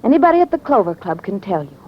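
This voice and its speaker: A woman's voice: medium pitch, sing-song, racing. A woman playing a haughty, short-tempered rich widow in old-time radio acting style. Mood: icy. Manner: imperious, cutting. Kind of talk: 1940s-50s radio acting. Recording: dull, noisy old radio recording.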